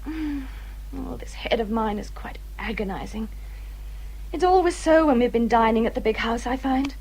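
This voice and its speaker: A self-important young English gentlewoman in a period drama. Her voice is fairly high and breathy, with a fretful, complaining edge. She sounds peevish and sorry for herself, always ready to needle. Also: animated, normal speed, low-quality recording. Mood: self-pitying. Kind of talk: British period radio drama acting.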